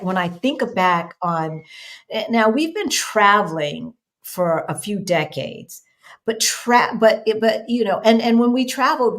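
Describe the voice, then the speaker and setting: A middle-aged woman hosting a casual interview, thoughtful and talking straight to her viewers, with many fillers and restarts. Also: medium pitch. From United States. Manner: addresses viewers directly. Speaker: host.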